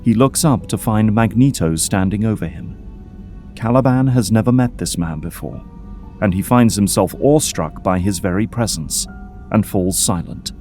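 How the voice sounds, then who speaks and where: A man's voice: lowish voice, normal speed, darkish tone. A man delivering scripted dramatic narration as the narrator of an audio drama. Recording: music under the voice.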